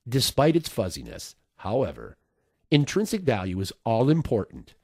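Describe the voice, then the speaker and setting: A man reading a nonfiction audiobook as narrator, in a measured, instructive way. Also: mid-range voice, a little mellow, no echo, clean studio sound.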